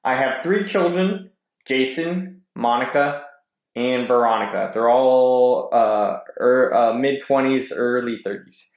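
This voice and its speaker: A man's voice: mid-range voice, slow. A man guesting on a podcast, reading posts aloud and chatting casually with some hesitant stumbles. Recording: roomy echo.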